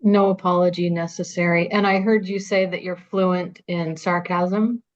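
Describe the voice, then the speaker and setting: A woman's voice: lowish voice, steady tone, moderate pace. A woman in her fifties, a podcast guest, casually telling old school stories with frequent laughter. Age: 50s. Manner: chatty, laughs often, storytelling. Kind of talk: casual reminiscing chat. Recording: dull lo-fi sound.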